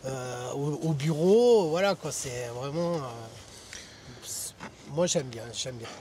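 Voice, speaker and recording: A man's voice: fairly high pitch, animated, slow, darkish tone, a little hiss or hum. A French man who runs a cafe as a pastry chef, chatting casually and hesitantly, with many fillers as he explains things. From France. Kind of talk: casual chat.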